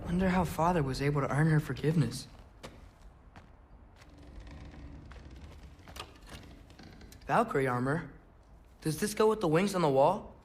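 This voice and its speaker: A man's voice: a little high, fast talker, background noise, natural voice. A young man playing an anxious, caring teenage boy in a Norse fantasy video game, earnest and often talking to himself. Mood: subdued, musing. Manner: expressive, talks to himself, earnest. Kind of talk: video game cutscene acting. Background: game music.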